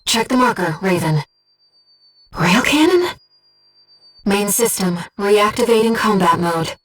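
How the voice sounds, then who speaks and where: An ethereal young female spirit who guides a pilot through a video game. She is earnest and devoted, speaking in a mid-pitched voice with gentle, exact phrasing. The sound sits a little away from the microphone. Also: animated, slow, recorded from a distance, faint music behind. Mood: urgent, alarmed. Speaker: mission operator companion. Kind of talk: scripted video game voice acting.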